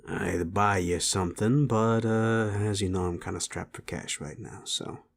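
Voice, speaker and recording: Man voicing a low-voiced wry werewolf tough. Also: a little slow, creaky, slight background noise. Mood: wry, resigned. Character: gruff sleazy male werewolf, streetwise, gently protective. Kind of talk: intimate roleplay audio drama.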